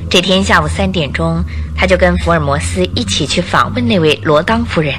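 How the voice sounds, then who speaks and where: A woman narrating a radio drama as an expressive storyteller with scripted, dramatic delivery. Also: on the low side, a little fast. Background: background music.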